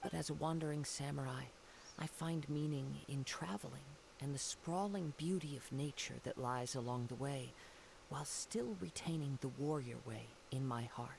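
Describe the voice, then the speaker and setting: Creaky, soft-spoken wandering samurai played by a man. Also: mid-range voice, background noise, close to an everyday voice. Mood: reflective. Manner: measured, poetic, formal phrasing. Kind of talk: video game character dialogue. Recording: game audio under loud music.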